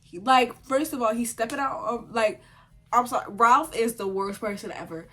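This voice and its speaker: A young woman vlogger with a congested cold, chatting at home in a sit-down video and giving opinionated takes full of "like" and sudden animated outbursts. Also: fairly high pitch, normal speed, faint music behind. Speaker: vlogger reviewing a TV series. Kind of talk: casual sit-down chat to camera.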